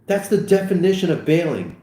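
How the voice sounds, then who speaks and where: A man acting out an aggrieved, high-pitched teenage boy. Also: very animated, fairly quick, dark tone. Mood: hurt, accusing. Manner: accusing, whiny, exasperated. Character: aggrieved teenage boy, wheezing, bitter at his best friend. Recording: echoey room.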